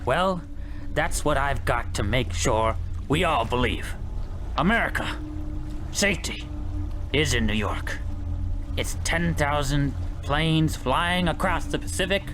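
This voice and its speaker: An actor playing a stern, weary navy captain in wartime, grave and brooding, snapping out orders. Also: male voice, fairly high pitch. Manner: grave, brooding, barks commands. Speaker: ship captain. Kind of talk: amateur radio drama. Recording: music underneath.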